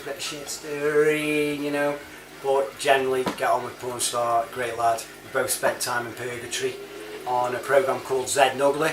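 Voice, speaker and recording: A British man giving a casual, rambling monologue in a chatty, matey manner full of informal slang. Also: mid-range voice. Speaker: online reviewer and CB chat app user. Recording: roomy, distant mic, clear background noise.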